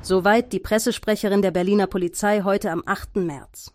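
A woman's voice: medium pitch, fairly even intonation, brisk, a little mellow, no room sound, lightly acted. Satirical radio news: actress as formal, earnest female anchor, composed delivery, close dry sound. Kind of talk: scripted news presenting in satirical radio play.

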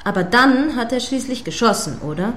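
A woman playing an earnest radio news anchor in a radio play, with a formal, measured way of questioning. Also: a little high, expressive, fairly bright tone, slight background noise. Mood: earnest, probing. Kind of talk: satirical radio play as live news broadcast.

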